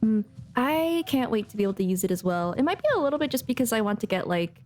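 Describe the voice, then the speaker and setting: A woman game streamer with a fairly high, very lively voice that moves around a lot in pitch. She chats casually and playfully over her gameplay. Faint music runs behind her. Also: fast. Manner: chatty, playful, animated asides. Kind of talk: casual live game commentary.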